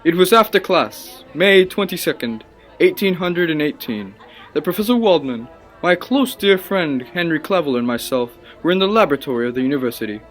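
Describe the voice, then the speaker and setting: A man's voice: a little high, lively intonation, fairly quick, fairly bright tone, faint music behind. An actor playing an obsessive, passionate young male scientist in a radio play, with earnest, theatrical and formal delivery. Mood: grave, haunted. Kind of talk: dramatic radio play acting and narration.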